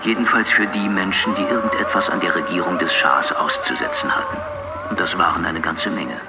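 A man's voice: sits low, huge pitch swings, fairly quick, loud music behind the voice, full character voice. A male actor narrating grim history in a radio drama, wry and dramatic in a scripted storyteller's style. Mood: ominous, wry.

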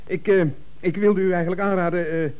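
An actor playing a timid, eager-to-please office subordinate in a 1970s Dutch radio comedy, stammering and deferential. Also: male voice, sits high, fairly even intonation, brisk, smooth, narrow, tinny audio, close to an everyday voice. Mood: nervous, flustered.

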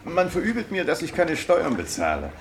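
An actor playing a wry smuggler who calls himself a free merchant, in a matter-of-fact radio drama style. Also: male voice, on the high side, huge pitch swings, moderate pace. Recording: hissy 1970s radio play recording.

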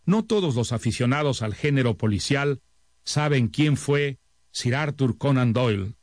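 A man reading a scripted introduction to a literary radio series in a measured, expository manner. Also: medium pitch, expressive, a little mellow, no room sound, clean studio sound. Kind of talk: scripted narration.

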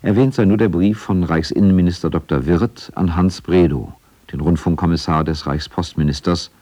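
A man with a deep voice narrates a radio documentary. He reads the script plainly and matter-of-factly. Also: normal speed, warm tone.

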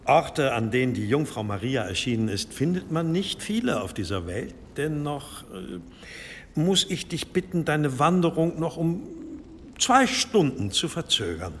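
A man playing a courteous, unctuous monastery abbot with quiet authority in a radio drama, formal, measured and gently insistent. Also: a little high, very animated, big-room sound, a little hiss or hum. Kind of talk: German radio drama acting.

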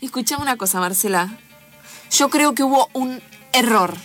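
Woman acting insistent friend, high Argentine voice. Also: animated, moderate pace, a bit bright, faint music behind. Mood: earnest, urging. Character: earnest, insistent young woman phoning her close friend. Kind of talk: improvised radio drama.

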